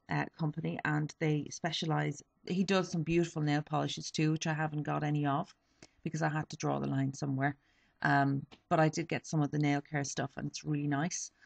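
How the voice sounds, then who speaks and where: A woman's voice: low voice, gravelly. A young woman in her twenties, a little sniffly, chatting casually through a beauty haul with lots of ums and self-corrections. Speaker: beauty vlogger. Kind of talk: casual product review.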